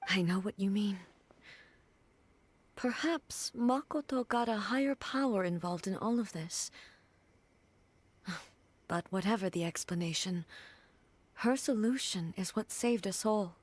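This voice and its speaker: A woman playing a grave, reserved warrior goddess in a video game, speaking in a serious, subdued, deliberate way. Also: medium pitch, a little mellow, natural voice. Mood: pensive, subdued. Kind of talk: dramatic video game voice acting.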